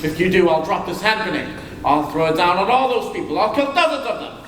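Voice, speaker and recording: A man's voice: sits high, lively intonation, fairly quick, a bit bright, slight background noise. A man playing a desperate, panicky young gunman in a live radio drama, frantic and defiant. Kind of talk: live old-time radio drama acting. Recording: echoey hall from far off.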